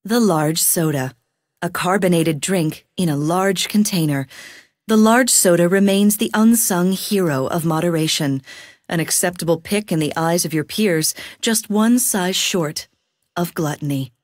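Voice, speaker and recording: A woman with a somewhat low voice delivers food descriptions with dry, sarcastic deadpan. The recording is close and dry, with no room sound. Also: measured pace, no echo. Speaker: in-game food description narrator. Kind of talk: scripted game voice lines.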